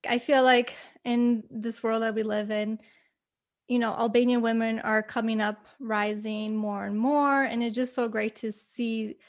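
A woman's voice: on the high side, flat delivery, a little slow. A young American woman hosting a podcast, chatting casually with lots of "you know" and "like". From United States, family from Kosovo. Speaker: podcast host. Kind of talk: casual interview chat.

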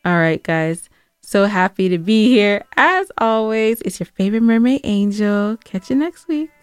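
A woman's voice: medium pitch, expressive, a little slow, a little hiss or hum. A woman co-hosting a podcast, chatting casually and giving announcements to listeners. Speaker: podcast co-host.

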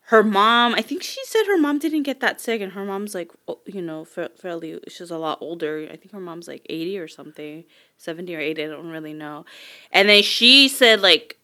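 Young woman chatting away, bright clear voice, lots of laughs and cussing. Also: lowish voice, fairly quick. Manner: chatty, animated storytelling with frequent laughs and swearing. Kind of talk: casual chat.

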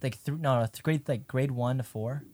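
A man's voice: mid-range voice, normal speed, warm tone, no room sound, studio quality. A young man in casual chat with friends, slangy and profane, reacting with exclamations.

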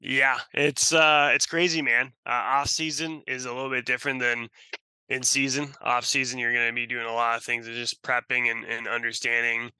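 Bright, crisp-voiced man, even delivery. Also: medium pitch, normal speed, no echo, low-quality recording. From Russia. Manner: rambling, peppered with "you know". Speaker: podcast guest, sports franchise sales and service executive. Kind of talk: casual interview answers.

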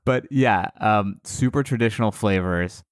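Casual podcast guest, American man, lowish voice, dry close sound, rambling storyteller. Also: measured pace, no room sound. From United States. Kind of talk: casual chat.

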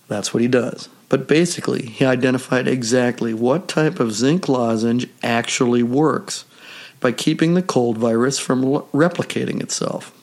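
Man, hoarse from a cold, podcast host, solo monologue, measured pace. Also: mid-range voice.

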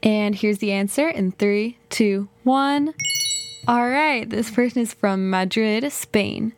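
A young woman hosting a casual solo podcast, chatty and thinking out loud, often saying "kind of" and "like". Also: fairly high pitch, takes it slowly, background noise. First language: English. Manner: chatty, thinks aloud, many "kind of" and "like" hedges. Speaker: podcast host.

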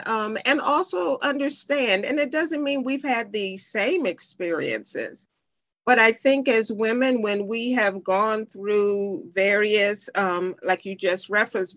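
A middle-aged woman speaks slowly in an interview, reflective and unhurried. She pauses often and fills gaps with soft "um"s. Her voice sits at a middle pitch. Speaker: podcast guest, life coach. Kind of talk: recorded interview clip. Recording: played back from an earlier interview.

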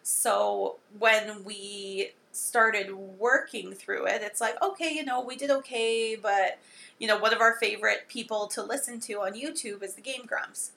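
A woman from Saskatchewan co-hosting a podcast in casual chat, upbeat and quick to agree. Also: medium pitch, vocal fry, sharp tone. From Saskatchewan, Canada. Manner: upbeat, agreeable, frequent affirmations. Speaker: podcast co-host.

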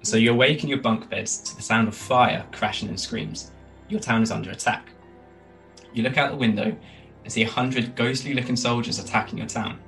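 A man's voice: medium pitch, brisk, creaky, a bit bright, recorded from a distance, faint music behind, low-quality recording. A man running a tabletop game as game master, narrating the scene and joking with the players. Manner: laughs often, joking.

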